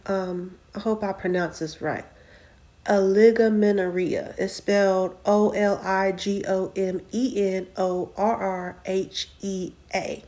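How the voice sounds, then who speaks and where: A woman in her late twenties talking casually to her viewers in a personal vlog, soft-spoken, with frequent ums and self-corrections. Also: medium pitch. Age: 20s. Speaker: vlog host. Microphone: camera mic, sitting and reading from notes.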